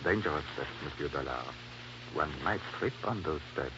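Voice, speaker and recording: An actor playing a philosophical, evasive police inspector with a measured, insinuating manner in an old radio drama. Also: male voice, rumbling low, expressive. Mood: sly, cautious. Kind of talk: 1950s radio drama acting. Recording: muffled, noisy old radio recording.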